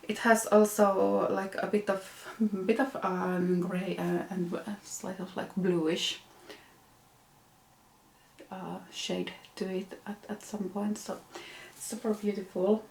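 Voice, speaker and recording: A woman's voice: mid-range voice, flat delivery, takes it slowly, creaky, a little mellow, echoey room, slight background noise, rough recording. A young woman from Finland with a Finnish accent, hosting a knitting podcast and showing her projects in a hesitant, casual way with many fillers. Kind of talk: casual show-and-tell.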